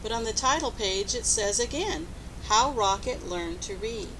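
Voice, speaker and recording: A woman reading a picture book aloud to young children, expressive and instructive, pausing to put questions to her listeners. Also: a little high, noisy background. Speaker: storybook reader.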